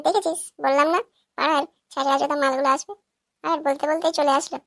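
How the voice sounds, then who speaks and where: A performer voicing a foul-mouthed old village grandmother in a cartoon, sharp and nagging. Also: high-pitched, fairly even intonation, fast, no room sound, clean studio sound.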